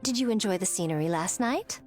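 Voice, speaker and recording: A woman's voice: medium pitch, expressive, a little fast, a little mellow, faint music behind, close to an everyday voice. A woman playing a sly, teasing, elegant shrine priestess in an anime video game, coy and mock-polite. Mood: playful, smug.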